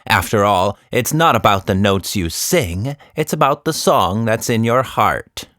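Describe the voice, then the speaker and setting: A man's voice: fairly low pitch, animated, unhurried, fairly bright tone, no room sound, studio quality. A man voicing a cheerful, earnest monkey with proud musical leanings in a children's story. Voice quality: slightly out-of-tune singing.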